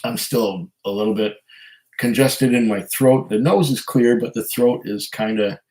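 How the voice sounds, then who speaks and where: A man with a hoarse voice from a cold, hosting a casual live stream, reading viewer comments and chatting in a rambling, hesitant way. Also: mid-range voice, measured pace, darkish tone. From Canada. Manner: rambling, hesitant, chuckles often. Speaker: whiskey channel host reading live chat.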